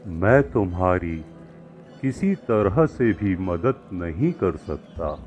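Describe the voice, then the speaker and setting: A wise holy man, played by a man, counsels in a slow, deliberate way with clear pauses. His mid-pitched voice rises and falls expressively as he teaches, in moral-tale style, over music on a slightly dull recording. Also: lively intonation, darkish tone. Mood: firm, calm. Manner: measured, didactic, deliberate pauses. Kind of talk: acted moral-story dialogue. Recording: muffled lo-fi with music behind.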